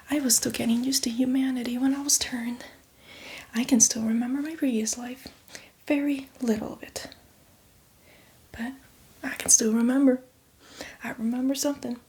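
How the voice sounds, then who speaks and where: A woman playing a boastful, playfully menacing female zombie in a chatty, teasing ASMR roleplay, speaking in a breathy, hushed whisper. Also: a little high, natural voice. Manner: chatty, teasing, addresses the listener as victim.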